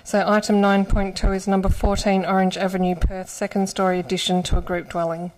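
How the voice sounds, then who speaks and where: A woman's voice: medium pitch, level tone, a little mellow, poor audio. A woman chairing a council meeting as mayor, speaking with some hesitation and fillers into a desk microphone in a large chamber. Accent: Australian. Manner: brisk procedural, then hesitant in debate.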